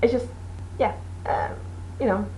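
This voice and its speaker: A woman's voice: fairly high pitch, fairly even intonation, a little slow, mellow, slight background noise, poor audio. A woman whose first language is Portuguese, hosting a knitting show in a casual, rambling chat with many fillers. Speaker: knitting podcast host.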